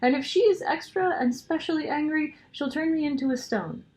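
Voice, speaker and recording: A woman voicing a gentle, guilt-ridden male faun in a children's storybook, anguished and pleading in a dramatic way. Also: high-pitched, a little fast, pitched above the performer's own voice, close to an everyday voice, poor audio. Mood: tearful, frightened. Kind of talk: children's storybook character reading.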